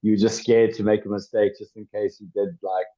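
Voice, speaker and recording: A man in his twenties from South Africa, a former rugby player chatting as a podcast guest, with lots of pauses and restarts. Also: fairly low pitch, level tone, mellow. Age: 20s. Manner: hesitant, many ums and restarts. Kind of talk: casual interview chat. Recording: dull lo-fi sound.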